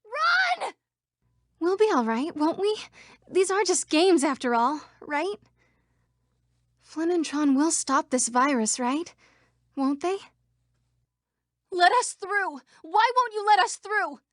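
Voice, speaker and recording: A young woman voicing an earnest female computer program citizen in a video game, with crisp, dramatic delivery. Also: extremely high pitch, very animated, slow, pauses often, fairly bright tone, no room sound, clean studio sound, exaggerated voice. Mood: panicked, then anxious, pleading.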